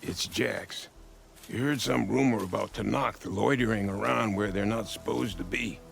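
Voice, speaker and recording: A man's voice: lowish voice, moderate pace, creaky, a bit bright. An actor playing a blunt, folksy older man who grumbles about a reckless friend in a game. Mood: exasperated.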